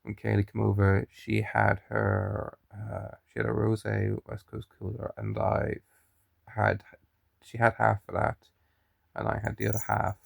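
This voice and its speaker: A man's voice: on the low side, lively intonation, measured pace, gravelly, no room sound. A young man with a mild Irish accent, chatting and rambling to camera in a daily vlog, often restarting his sentences. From Ireland. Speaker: daily vlogger. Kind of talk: casual chat to camera.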